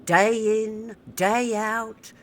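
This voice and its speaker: A woman's voice: fairly high pitch, steady tone, drawn-out words, slight background noise. An actress playing a mournful, clingy, fretting older woman in an audio comedy, with plaintive delivery. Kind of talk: audio sitcom acting.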